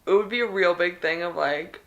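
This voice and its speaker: A woman's voice: on the low side, brisk, fairly bright tone, dull audio. A young woman co-hosting a casual chat while gaming, laid-back and slangy, laughing often. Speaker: co-host.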